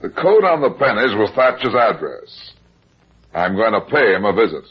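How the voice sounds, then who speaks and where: Sardonic big private eye from old radio show, deep voice that swings around a lot, dull old audio. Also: male voice, big pitch swings, normal speed, slightly rough. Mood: wry, calm. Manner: wry, sardonic. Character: wisecracking, unflappable heavyset male private detective. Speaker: radio drama lead actor. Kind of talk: vintage radio detective drama acting. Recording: muffled vintage radio recording.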